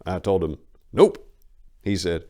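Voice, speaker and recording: A man's voice: low voice, lively intonation, slow, dark tone. A man reading a first-person story as dramatic audiobook narration, in a folksy, colloquial storytelling manner.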